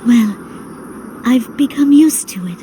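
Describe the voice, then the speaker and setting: An actress playing a spirited, determined young heroine in a video game, sisterly, teasing and earnest. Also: female voice, a little high, animated, slow, dark tone, slight background noise, poor audio. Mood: tender, determined. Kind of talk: dramatic video game voice acting.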